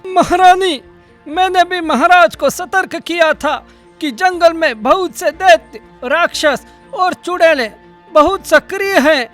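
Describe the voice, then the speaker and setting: A woman voicing a calm, wise old male royal priest in a cartoon. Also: very high-pitched, measured pace, faint music behind. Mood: advising. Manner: calm, advising.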